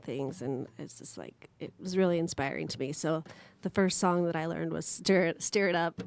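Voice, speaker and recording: Woman musician guest, quiet and easygoing, low-pitched. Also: moderate pace, gravelly. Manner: soft-spoken, laughs easily, brief replies. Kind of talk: casual radio interview chat. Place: radio studio.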